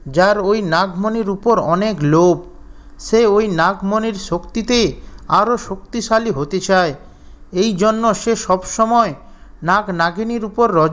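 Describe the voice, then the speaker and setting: A man's voice: high voice, fairly bright tone. A man narrating a scripted children's fairy tale as storyteller.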